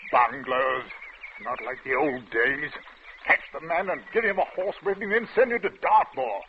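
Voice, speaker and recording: On a muffled, noisy old radio recording, an actor plays a blustering English country gentleman. His high voice swoops about with pompous, exclamatory indignation, as if every remark were an outrage to his class. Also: moderate pace, natural voice. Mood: indignant. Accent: upper-class English. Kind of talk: old-time radio drama acting.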